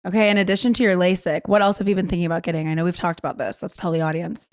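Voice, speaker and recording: Woman co-host, rapid, eager talker, lowish pitch, giggly chat. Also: level tone, dry recording, clean studio sound. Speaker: podcast co-host. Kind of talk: casual chat.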